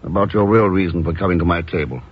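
An actor playing an unflappable secret agent in a 1950s radio drama, with a dry, sardonic and suave manner. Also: male voice, low-pitched, quick pace, slightly rough. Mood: wry, guarded. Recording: muffled old radio recording.

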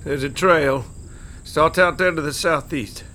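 Radio drama squatter: rustic Southern, fairly high voice, frightened and beseeching, faint night noise behind. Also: male voice, unhurried, pushed and bright. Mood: anxious, pleading. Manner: pleading, rustic. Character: frightened, pleading male swamp squatter, humble and earnest.

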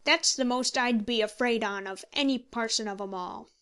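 A woman's voice: on the high side, steady tone, normal speed, fairly bright tone, natural voice. A woman voicing a contemptuous old male pensioner who growls and mutters with scorn in an audiobook story. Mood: scornful.

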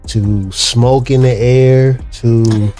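A playful man co-hosts a relaxed podcast, talking slowly and at an unhurried pace. He jokes, laughs and sometimes drifts into a snatch of song. There is faint music behind him. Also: mid-range voice. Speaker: podcast co-host. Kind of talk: casual chat.

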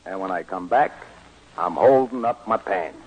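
A man's voice: low voice, normal speed, a little mellow, a little hiss or hum, close to an everyday voice. An actor playing a down-on-his-luck, wisecracking New gambler who tells his tale colloquially in a 1940s radio comedy. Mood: wry narrating. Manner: streetwise, ungrammatical, colloquial storytelling. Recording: muffled old 1940s radio broadcast, music.

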